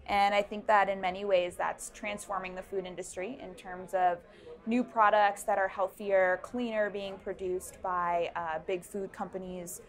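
A woman's voice: medium pitch, fairly bright tone. Young woman, founder, interview answers, hesitant fillers, lapel mic, indoor event. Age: young adult. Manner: thoughtful, many ums and restarts. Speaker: startup founder. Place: busy indoor networking event.

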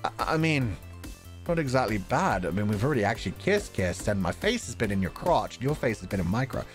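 A man streaming a game, reading its dialogue aloud with jokey ad-libs in a playful, animated manner. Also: on the low side, a little fast. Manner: animated, stammering for effect, jokey. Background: visual novel game music.

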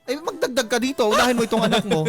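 A man with a Filipino accent hosting a radio advice show, chatting casually in an animated, teasing, colloquial way. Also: extremely high pitch, racing, music in the background. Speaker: radio host giving advice to callers. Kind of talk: casual advice banter with a co-host.

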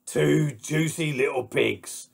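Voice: mid-range voice, vocal fry, breathy edge, voice pushed up, exaggerated voice. Jemand spielt einen lauten, gierigen bösen Wolf. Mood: gloating. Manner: loud, blustering, drawn-out delivery. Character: greedy, boastful, hungry big bad wolf.

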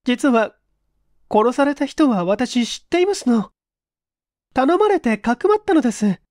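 A woman plays a young office worker in a dramatized mystery reading. Her voice is very high and closely recorded without room sound. She speaks in deferential, formal phrases, hesitant and distressed as she confesses. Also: big pitch swings, a little slow, darkish tone, no room sound, studio quality. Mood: resolved, earnest.

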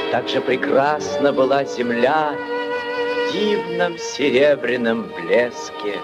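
A man narrating a 1940s radio play in a solemn, lyrical, declamatory manner. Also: a little high. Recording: dull vintage 1940s radio recording. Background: loud violin music.

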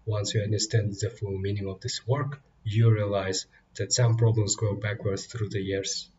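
A man's voice: on the low side, flat delivery, moderate pace, a little mellow. Muffled, lo-fi young man presenting. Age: young adult. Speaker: student presenter. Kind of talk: scripted book analysis. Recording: dull lo-fi audio with faint noise.

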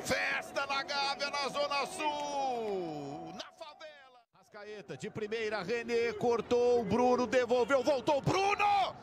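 A man with a Brazilian accent giving excited live football commentary, shouting goal calls over rapid play-by-play. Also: extremely high pitch, over a noisy crowd. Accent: Brazilian Portuguese.

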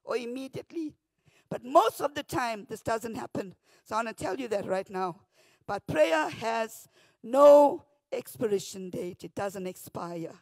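A woman pastor with a South African accent, preaching a morning devotion from a church pulpit into a handheld mic, often shouting, then dropping to a hush. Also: on the high side, expressive, measured pace, no room sound. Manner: fervent, often shouting, swings from loud to hushed. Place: church stage behind a pulpit. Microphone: handheld microphone.

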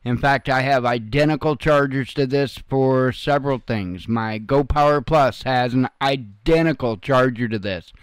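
A man's voice: medium pitch, unhurried, hardly any pauses, clear, resonant tone, no echo. A man hosting informal, unscripted news commentary in a blunt, folksy way with some salty language. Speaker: host of an online news commentary channel.

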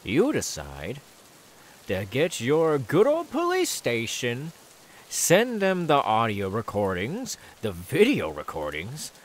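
A man's voice: medium pitch, huge pitch swings, takes it slowly, heavily acted. A man voicing a menacing, taunting killer simmering with anger in an ASMR audio roleplay, drawing out repeated words. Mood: angry, threatening.

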